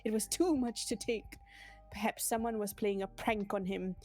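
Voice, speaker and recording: A woman's voice: mid-range voice, steady tone, moderate pace, warm tone. A woman reading a comic fan story aloud in a dramatic narrating style on a livestream. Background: light music.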